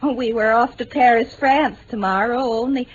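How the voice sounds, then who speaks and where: Actress earnest young American bride, highish voice, impulsive, apologetic, breathless, vintage radio drama, muffled sound. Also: female voice, moderate pace, close to an everyday voice. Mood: ashamed, earnest. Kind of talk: 1950s-60s BBC radio drama acting. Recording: dull vintage radio recording, faint noise.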